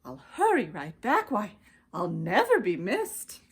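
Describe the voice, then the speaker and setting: A woman's voice: medium pitch, wildly swooping pitch, unhurried, creaky, husky, exaggerated voice. A woman voicing a flighty, carefree female bird in a children's storybook, sing-song and wheedling. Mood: coaxing.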